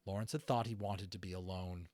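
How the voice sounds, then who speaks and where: Male storyteller for audiobook narration; lowish voice, close dry sound. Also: steady tone, a little fast, darkish tone, no room sound. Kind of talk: scripted story narration with informal asides.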